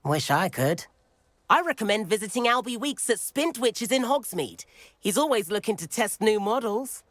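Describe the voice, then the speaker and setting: A man's voice: high voice, sing-song, normal speed, a bit bright, no room sound. A chatty teenage boy. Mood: friendly. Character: friendly teenage boy student, broom lover. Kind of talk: video game voice acting.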